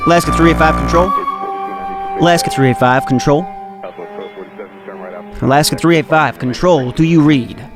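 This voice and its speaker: A man playing a higher-voiced air traffic controller. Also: normal speed, pauses often, loud music behind the voice, lightly acted. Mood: focused, concerned. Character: male air traffic controller, calm, dryly exasperated with teasing boss. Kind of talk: audio drama acting.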